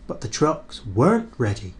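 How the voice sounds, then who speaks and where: A man reads a children's storybook aloud in an expressive, measured way. His voice rises and falls with lots of life at a middle pitch.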